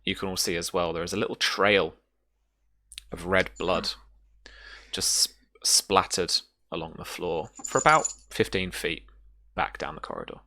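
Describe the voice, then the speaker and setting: A man working as game master, narrating a tabletop horror roleplaying game in a halting way, repeating words as he describes scenes. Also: deep voice, very animated, vocal fry, pushed and bright, no room sound.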